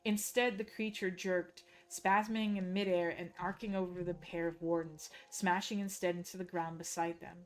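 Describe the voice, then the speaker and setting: A Dutch woman, a native Dutch speaker, reading game lore aloud as a hushed, measured, suspenseful story. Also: fairly low pitch, level tone, faint music behind, rough recording. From Netherlands.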